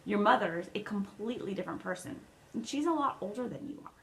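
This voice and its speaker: A woman's voice: medium pitch, expressive, fast talker, creaky, a little hiss or hum, low-quality recording. A woman voicing a kind, reassuring teenage boy in a read-aloud storybook. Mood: tender.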